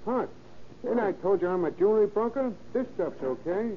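Indignant crook, high swooping voice. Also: male voice, animated, moderate pace. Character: indignant, cocky male crook in his thirties. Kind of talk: 1930s radio crime drama acting. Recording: tinny, noisy old-time radio recording.

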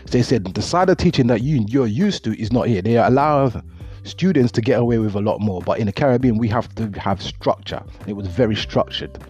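Middle-aged man, earnest rambling monologue, mellow voice, soft music behind. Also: mid-range voice, animated, brisk. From Jamaica. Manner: earnest, repeats words, loose grammar. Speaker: motivational speaker. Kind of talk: reflective monologue. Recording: dull lo-fi audio with faint music.

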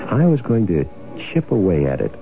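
A man's voice: low-pitched, wildly swooping pitch, moderate pace, creaky, exaggerated voice. An actor playing a sly, self-satisfied swindler who tells his own crime story in wry, casual slang, in the style of 1970s radio drama. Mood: smug, wry. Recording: tinny, band-limited old radio broadcast, music behind.

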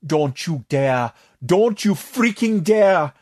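Man voicing jittery fish-man, high, loud, moaning. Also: animated, takes it slowly, no room sound, clean studio sound. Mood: panicked. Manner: frantic, whiny, moaning. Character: panicky gilled male sea creature.

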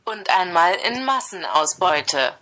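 A text-to-speech female voice reading out translated text in an even, synthetic way. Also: fairly low pitch, takes it slowly. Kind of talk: flat machine readout of translated text.

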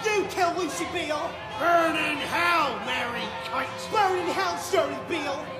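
An actress playing a hate-filled seventeenth-century villager screaming shrill accusations at a witch in a radio drama. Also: measured pace. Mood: furious, hateful. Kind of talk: radio drama acting, shouting. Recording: echoing, loud crowd and music behind.

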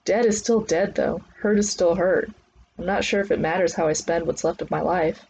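A woman's voice: fairly low pitch, moderate pace, darkish tone, slight background noise, lightly acted, rough recording. A woman voicing a jaded, sarcastic young fighter trainee with a defiant manner in audiobook dialogue. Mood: weary, jaded.

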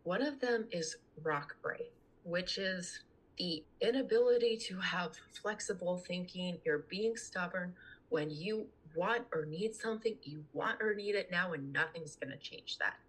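Young female podcast guest, low-pitched with a raspy edge, earnest, conversational delivery. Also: unhurried, a bit bright, low-quality recording. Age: young adult. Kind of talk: casual conversational interview talk.

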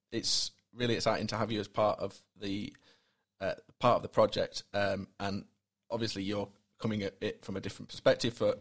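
A man's voice: fairly low pitch, breathy edge. A man hosting a podcast, asking questions hesitantly with many fillers. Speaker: podcast host.

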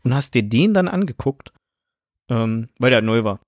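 A young adult man co-hosting a casual film chat in a relaxed, thoughtful, slightly wry way. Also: medium pitch, moderate pace, no room sound, studio quality. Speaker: podcast co-host.

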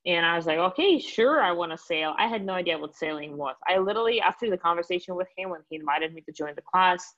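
A woman in her late twenties from Russia with a Russian accent, a guest telling stories in a relaxed way with many fillers. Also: lowish voice, fast, hardly any pauses, highs cut off. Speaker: guest, company founder. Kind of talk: interview answers.

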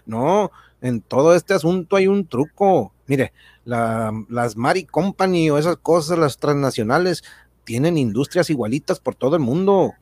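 A man's voice: on the high side, lively intonation, measured pace, darkish tone, dry recording, clean studio sound. A man playing a folksy, indignant explainer, full of colloquial tags and rhetorical questions in a radio drama. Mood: explaining. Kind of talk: educational radio drama dialogue.

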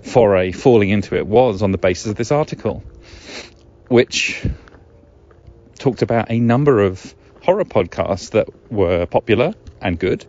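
Low-voiced man rambling on a podcast. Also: expressive, normal speed, a little mellow. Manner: chatty, digressive, self-correcting. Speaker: podcast host. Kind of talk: casual rambling solo podcast talk. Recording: slightly dull, lo-fi sound.

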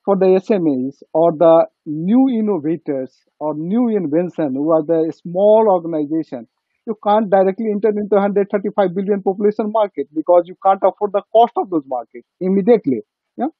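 Over a thin, phone-like connection with a dry sound, a high-voiced man with a Nepali accent talks business. His delivery is halting, and he repeats phrases to drive them home. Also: husky, dry recording. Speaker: business guest. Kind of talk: informal business discussion. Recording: thin phone-like call audio.

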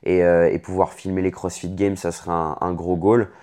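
Casual young Frenchman with a lowish voice. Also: flat delivery, moderate pace, a little mellow. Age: late 20s. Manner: informal, franglais slang mixed in. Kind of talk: casual chat about career and personal life.

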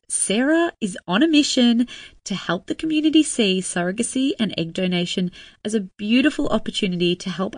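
Australian woman, podcast host, bubbly and upbeat, dry close sound, mid-pitched voice. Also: no room sound. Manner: bubbly, enthusiastic. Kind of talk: scripted intro then casual chat.

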